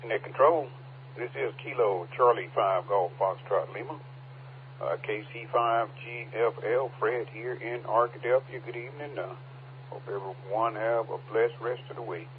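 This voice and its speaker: A man makes a short amateur radio check-in. His voice sits fairly low. The radio signal is narrow and tinny, with a faint hum behind it. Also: moderate pace.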